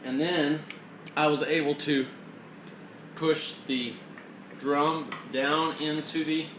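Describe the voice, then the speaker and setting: A man's voice: fairly high pitch, fairly even intonation, slow. A man giving an informal, hands-on mechanical tutorial, explaining step by step in a plainspoken way. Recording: roomy echo, clear background noise.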